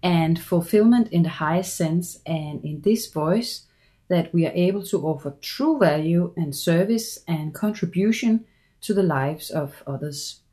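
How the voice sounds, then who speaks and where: A middle-aged woman from Denmark with a Danish accent, a voice coach giving an earnest, measured promotional pitch for her seminar. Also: lively intonation, dark tone. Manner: measured, earnest, persuasive delivery.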